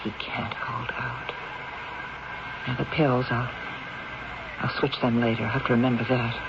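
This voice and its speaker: An actress playing a scheming wife who feigns grief over her dying husband, halting and dramatic, in a seventies radio drama. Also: very deep voice, level tone. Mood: furtive, plotting. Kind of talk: 1970s radio drama acting. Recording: noisy, muffled vintage radio recording.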